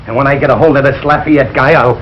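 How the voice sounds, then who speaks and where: A man's voice: mid-range voice, fairly even intonation, fast talker, lightly acted. An actor playing a blustering, suspicious middle-aged working-class father in a 1940s radio situation comedy, exclamatory and emphatic. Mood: indignant. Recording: dull, noisy 1940s radio recording.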